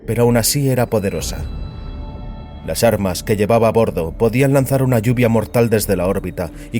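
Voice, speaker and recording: A man narrating a scripted dramatic story in a solemn, deliberate manner. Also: fairly low pitch, warm tone. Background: music.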